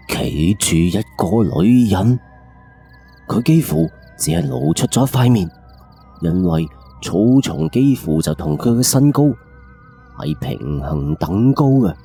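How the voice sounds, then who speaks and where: A man's voice: fairly low pitch, big pitch swings, slow, vocal fry, mellow, slightly rough, soft background music. A man with a Cantonese accent narrating a first-person ghost story in a colloquial, suspenseful late-night radio style.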